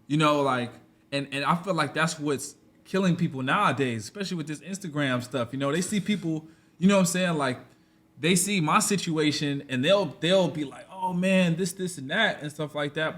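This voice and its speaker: A man's voice: a little high, brisk, fairly bright tone. A man in his twenties, a podcast guest, talking loosely and rambling in a casual conversation. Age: 20s. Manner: loose and rambling, full of "you know what I'm saying".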